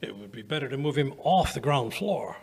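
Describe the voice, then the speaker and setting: A man's voice: mid-range voice, expressive, fairly quick, gravelly, darkish tone, husky, exaggerated voice. An older man playing an earnest, bumbling doctor sidekick in an old-time radio mystery, with a hesitant, sputtering manner. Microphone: stand microphone.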